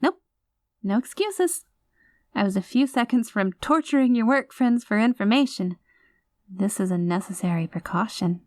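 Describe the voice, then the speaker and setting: A woman's voice: mid-range voice, lively intonation, normal speed, darkish tone, dry recording, studio quality, natural voice. A woman acting an anxious, clingy, doting girlfriend with playful menace, in an intimate, emotive audio roleplay. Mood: bossy, affectionate. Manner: emotive, flustered, affectionate, teasing.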